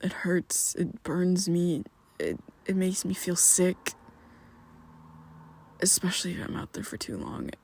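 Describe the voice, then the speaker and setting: A man voicing a young vampire who is his listener's best friend, speaking in a soft, breathy half-whisper with hesitant restarts, in an ASMR roleplay. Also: high voice, sing-song, unhurried, exaggerated voice. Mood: pleading, defensive. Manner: hesitant, stumbling restarts, pleading.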